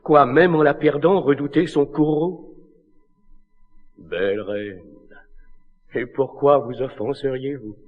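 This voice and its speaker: In a muffled recording with long hall reverb, a lovelorn, noble king of classical tragedy. He declaims solemn verse slowly and with anguish, in a rather low voice. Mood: impassioned. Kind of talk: classical tragedy stage acting.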